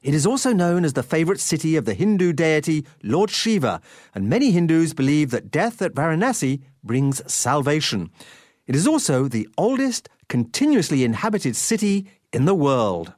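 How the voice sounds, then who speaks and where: A man's voice: on the high side, very animated, moderate pace, darkish tone, no echo, clean studio sound. A man hosting a radio travel show, narrating in a polished, informative way.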